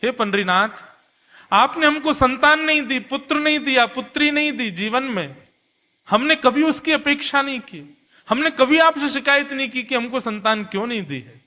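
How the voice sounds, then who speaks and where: Man playing a devout, earnest old householder, high-voiced. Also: bright, forceful tone, exaggerated voice. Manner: earnest, beseeching, repetitive. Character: devout, tender old householder, childless and pleading. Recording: long hall reverb.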